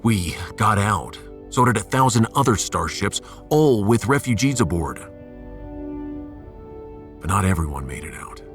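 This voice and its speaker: A man playing a resolute starship captain in a sci-fi audio drama, commanding, earnest and measured. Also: fairly low pitch, sing-song, a bit bright, faint music behind, heavily acted. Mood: solemn, rallying.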